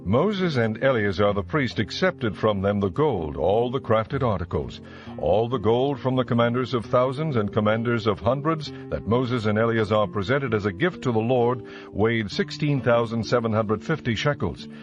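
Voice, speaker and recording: A man giving a formal, measured scripted narration of scripture. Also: medium pitch, animated, dull audio, over music.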